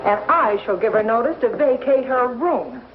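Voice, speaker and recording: A woman's voice: a little high, lively intonation, moderate pace, noisy background, natural voice. An actress playing a scandalized, stern small-town landlady and gossip in a 1950s television Western. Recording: dull old TV soundtrack with music.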